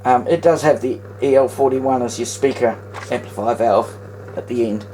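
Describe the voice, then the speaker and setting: Casual New Zealand hobbyist presenter, mid-pitched, meandering hands-on commentary, noisy home-recorded sound. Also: normal speed, dark tone. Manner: rambling, thinking aloud. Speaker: vintage radio hobbyist and presenter.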